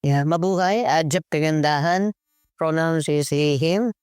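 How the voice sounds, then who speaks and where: A man's voice: on the high side, moderate pace, darkish tone, clear, resonant tone, no room sound, studio quality. A man with a Filipino accent introducing himself as an executive director. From Philippines. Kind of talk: self-introduction.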